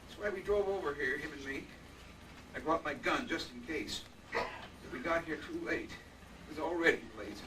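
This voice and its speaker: A man's voice: on the high side, moderate pace, long pauses, far from the mic, background noise, lightly acted. An actor playing a humble, shaken old working man in an old-time radio drama, caught in a live stage recording. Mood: grieving, shaken. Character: grieving old male yard worker, humble and shaken.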